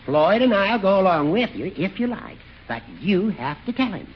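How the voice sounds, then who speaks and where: An actor playing a fussy, insistent older judge in 1940s radio comedy, precise and admonishing. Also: male voice, high-pitched, sing-song, moderate pace. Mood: firm, scolding. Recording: muffled, hissy 1940s radio recording.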